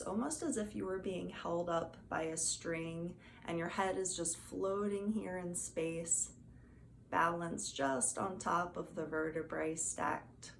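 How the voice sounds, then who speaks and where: A woman leads a guided meditation very slowly, with a soothing, gentle delivery. Her voice sits a little low and has a noticeable creak. There is faint noise behind her.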